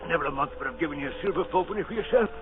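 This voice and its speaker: An actor playing an ailing, fearful old sea captain in a radio drama, with rough sailor talk and pleading bluster. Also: male voice, fairly high pitch, very fast, lightly acted. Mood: weak, pleading. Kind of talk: 1930s radio acting. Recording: dull old radio recording with music.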